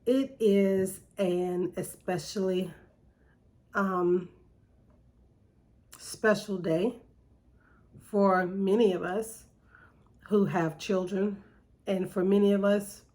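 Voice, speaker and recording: A middle-aged woman and poet, speaking reflectively and reading her poems in a slow, deliberate way with pauses, a little nasal from allergies. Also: medium pitch, fairly even intonation, dark tone. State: slightly nasal from seasonal allergies. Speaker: poet hosting her own segment. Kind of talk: reflective spoken monologue with poetry reading.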